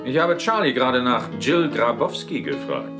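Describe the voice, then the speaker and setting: A man's voice: medium pitch, wildly swooping pitch, moderate pace, over music. An actor playing a wry, tough male detective who questions and narrates with dry assertiveness in a German audio drama. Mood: stern. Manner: probing, dryly assertive.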